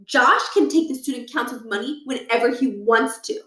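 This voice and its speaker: A woman's voice: on the high side, normal speed, bright, forceful tone. A woman voicing a pompous, power-hungry older male school principal in a children's story, lecturing with condescension and menace. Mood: stern, condescending. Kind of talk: children's book read aloud. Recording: echoey room, distant from mic.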